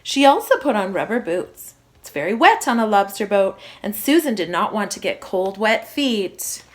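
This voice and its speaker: A woman reading a children's story aloud like a primary teacher, with expressive, emphatic phrasing for young listeners. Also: medium pitch, moderate pace.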